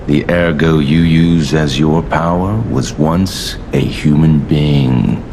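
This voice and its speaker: An actor playing a grandiose, condescending alchemist leader in a video game, speaking with measured, mock-courteous pomp. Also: male voice, extremely low pitch, vocal fry, background noise, heavily acted. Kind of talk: theatrical video game villain monologue.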